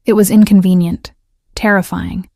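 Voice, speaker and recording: Woman narrator, scripted storytelling, mellow, flat delivery, dry close sound. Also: lowish voice, moderate pace, creaky, no room sound.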